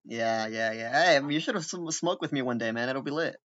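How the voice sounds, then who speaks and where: A man's voice: mid-range voice, a little fast, a bit bright, muffled recording. A man hosting a podcast in casual, slangy, foul-mouthed chat. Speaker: podcast host.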